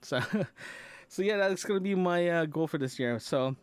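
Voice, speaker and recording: A man's voice: fairly high pitch, moderate pace, clean tone, dry recording. Rambling man in a dry, close recording. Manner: rambling, repeats and rephrases himself. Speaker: podcast host. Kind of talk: casual personal audio-diary chat.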